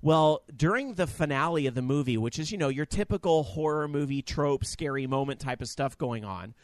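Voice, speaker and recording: A man co-hosting a casual podcast, telling profane, animated stories. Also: a little high, fairly quick, no room sound. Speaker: podcast co-host. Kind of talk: casual chat.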